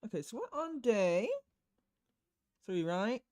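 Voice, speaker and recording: A woman chats casually on a game livestream. Her pitch moves up and down a lot, giving the offhand commentary an animated sound. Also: slow pace. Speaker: game streamer. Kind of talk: casual livestream talk, reading visual novel lines aloud.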